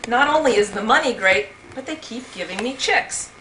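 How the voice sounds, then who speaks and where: A woman's voice: fairly high pitch, lively intonation, normal speed, pushed and bright. A woman reading out joke birthday messages to guests at a home party. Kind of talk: comic reading aloud at a party. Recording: very noisy, echoey amateur home video.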